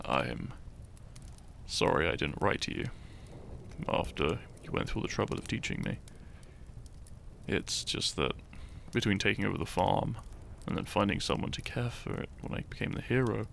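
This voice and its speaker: A man playing a shy young farmer turned hero in an intimate romantic audio roleplay, tender and teasing, speaking softly and haltingly. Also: deep voice, expressive, vocal fry, a little hiss or hum, exaggerated voice. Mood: wistful, earnest. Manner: soft, hesitant, halting, sighing and chuckling.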